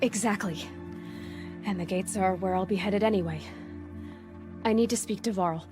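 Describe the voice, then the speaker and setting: A woman's voice: mid-range voice, normal speed, darkish tone, natural voice. Actress doing young huntress girl from a game, guarded, direct, asks questions, music playing behind her. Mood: brisk, resolute. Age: young adult. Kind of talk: video game voice acting.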